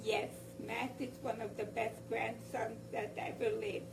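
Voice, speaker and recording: Hoarse elderly Hungarian-accented woman. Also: on the high side, flat delivery, distant mic, background noise. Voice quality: gravelly. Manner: halting, searching for words. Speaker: guest grandmother. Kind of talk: casual family chat.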